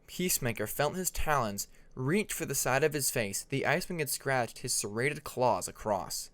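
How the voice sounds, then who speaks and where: A man's voice: mid-range voice, lively intonation, normal speed, a bit bright. A man reading scripted fantasy fiction as an audiobook narrator.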